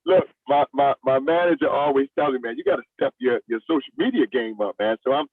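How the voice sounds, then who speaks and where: A man's voice: mid-range voice, brisk, breathy edge, dry recording. Man, fifties, plainspoken casual talk, veteran actor, narrow lo-fi band, dry sound. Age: 50s. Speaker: veteran actor, interview guest. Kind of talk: casual chat.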